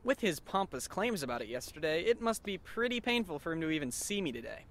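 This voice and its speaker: A man playing a sardonic, weary male high school student who narrates and talks dryly in an anime fan dub. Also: sing-song, quick pace, a bit bright, a little hiss or hum. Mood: dryly resigned. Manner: dry, wry, long-suffering.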